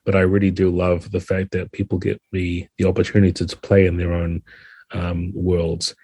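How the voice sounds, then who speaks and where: A man's voice: low-pitched, monotone, normal speed, dark tone, slightly rough, no echo. A man talking conversationally as a guest game designer on a podcast, earnest and thoughtful.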